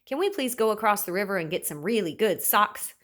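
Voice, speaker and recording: A woman's voice: medium pitch, expressive, a little fast, a bit bright, natural voice. A woman voicing an eager, stubborn little girl in a storybook, emphatic and exuberant. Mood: eager, pleading. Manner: emphatic, exuberant, with chanted repetition. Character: eager, stubborn little girl who adores her socks.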